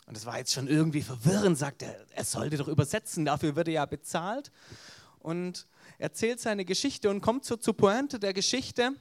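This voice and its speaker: A man's voice: a little high, expressive, normal speed. Swabian-accented man preaching an evangelistic sermon. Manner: earnest, storytelling preacher.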